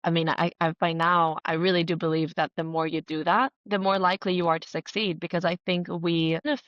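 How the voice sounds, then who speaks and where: A woman from Greece with a lowish voice, chatting as a podcast guest. She is earnest and enthusiastic, leaning on emphatic words in a casual, conversational flow. The sound is close and dry but slightly dull. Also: fairly even intonation, a little fast, a bit bright, dry recording. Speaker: podcast guest, writer and entrepreneur. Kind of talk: casual conversational interview answers.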